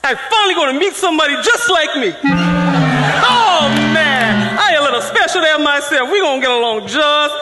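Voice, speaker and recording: A woman playing an eccentric, sassy elderly beautician turned teacher on stage, with drawn-out, folksy delivery. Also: sits high, animated, a loud crowd. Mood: delighted. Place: theatre stage before an audience. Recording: echoey stage hall, loud music.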